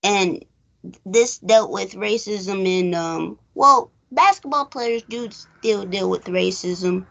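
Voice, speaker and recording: A woman's voice: medium pitch, slow, vocal fry, a bit bright, highs cut off. A woman co-hosting a movie recap show, chatting casually and rambling as she retells and acts out bits of dialogue.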